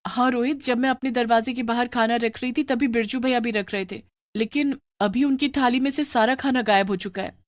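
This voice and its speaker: Woman as young village sister-in-law, bold, quick-witted, highish voice, melodramatic storytelling, dry recording. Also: no echo, close to an everyday voice. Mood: earnest, resolute. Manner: expressive, melodramatic.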